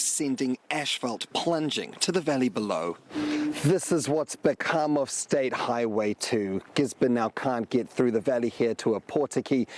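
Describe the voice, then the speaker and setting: A man's voice: mid-range voice, darkish tone, a little hiss or hum. A man with a New Zealand accent reporting for television news from out in the field. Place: outdoors on location by damaged roads.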